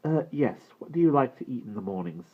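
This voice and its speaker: An excitable young boy bubbles over with eager questions, as a man puts him on. The voice is lifted well above the reader's deep natural tone, and the audio is slightly dull. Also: a little fast, lightly acted. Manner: eager, breathless bursts.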